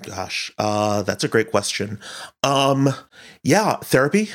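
A man's voice: on the low side, very animated, slow pace. A man answering interview questions as an audio director, speaking earnestly and thoughtfully, often searching for his words.

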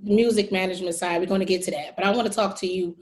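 A woman's voice: lowish voice, fairly even intonation, fast talker. A woman hosting a podcast interview, casual and full of praise and slang. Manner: warm, effusive praise and slang. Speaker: podcast host.

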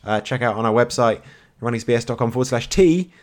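Guy cohost, talks fast, deadpan ribbing. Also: mid-range voice. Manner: dry teasing humour, swears casually. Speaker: podcast co-host. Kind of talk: casual chat.

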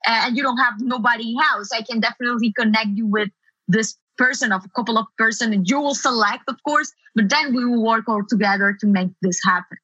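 A woman's voice: on the high side, quick pace, fairly bright tone, slightly rough, no room sound, dull audio. A woman from Latin America with a Spanish accent, a native Spanish speaker, explaining things emphatically as an interview guest. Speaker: guest SEO consultant. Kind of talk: conversational interview answers.

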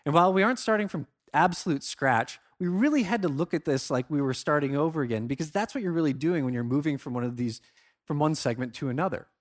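A man's voice: on the high side, quick pace. A man presenting a conference talk in an informal, conversational way, leaning on key phrases for emphasis. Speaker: conference speaker, product marketer.

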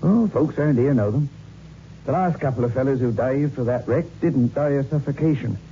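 An actor playing a grave local police sergeant who tells ghost tales in a folksy, ominous manner, in 1960s radio drama style. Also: male voice, mid-range voice, brisk, natural voice. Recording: muffled, hissy old radio recording.

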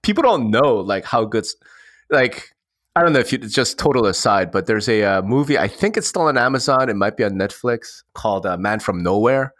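A man's voice: fairly low pitch, expressive, fairly quick, a bit bright. A man co-hosting a podcast in a casual, slangy and joking way. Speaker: podcast co-host.

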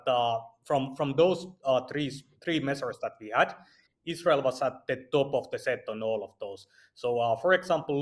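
Halting man presenting figures, mid-pitched. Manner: halting, many filled pauses. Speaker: research analyst, interviewee.

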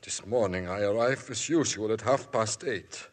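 An actor playing a frightened German immigrant caretaker with a German accent, in a 1950s radio drama. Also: male voice, fairly low pitch, moderate pace, close to an everyday voice. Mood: fearful. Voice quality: husky, pleading and fearful. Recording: old 1950s radio broadcast.

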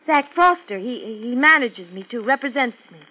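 Young beauty contestant, fairly high voice, theatrical, intense, defensive, 1950s radio play. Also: female voice, animated, moderate pace, bright, forceful tone, poor audio. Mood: evasive. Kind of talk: 1950s radio drama acting.

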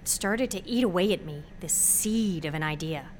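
A woman's voice: on the low side, expressive, normal speed, slight background noise, natural voice. An actress playing a sheltered, anxious young female college student who speaks candidly in a naturalistic audio drama. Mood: anxious, confiding. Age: young adult.

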